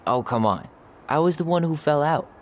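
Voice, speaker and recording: A man's voice: on the high side, lively intonation, moderate pace, slight background noise, lightly acted. An actor playing a wry, nostalgic young American man in naturalistic, casual video game dialogue. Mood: wry, teasing. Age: young adult.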